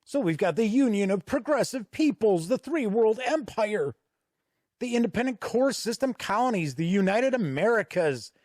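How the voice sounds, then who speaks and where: A man with a slightly high voice reviews a tabletop game as he pages through it. He explains things in a conversational, unscripted way, and the recording is dry and close, with little room sound. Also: darkish tone, dry recording. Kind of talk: unscripted review commentary while paging through a product.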